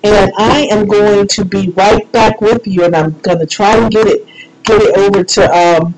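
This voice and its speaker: A woman hosting a show in a casual, unscripted way, chatty and a little halting as she goes. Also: mid-range voice, steady tone, background noise. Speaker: radio show host.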